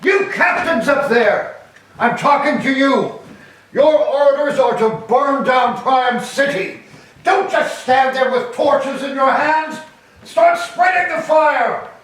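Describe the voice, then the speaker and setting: Man playing commanding high-voiced army herald, echoey. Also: slow, a bit bright, exaggerated voice. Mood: barking orders. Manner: commanding, declamatory. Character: commanding Greek army herald, brisk and stern. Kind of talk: staged reading of Greek tragedy. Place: church, live staged reading. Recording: echoey hall, distant mic, background noise.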